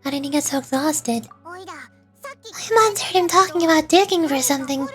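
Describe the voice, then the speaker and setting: Casting: woman playing a tiny excitable fairy girl for a game, high, eager, full of exclamations. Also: normal speed, faint music behind. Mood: concerned, curious. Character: small chirpy, excitable fairy-like girl companion.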